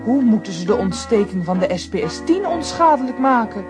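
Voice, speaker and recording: A woman narrating a children's radio play in a calm storytelling manner. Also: a little high, fairly quick. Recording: music underneath.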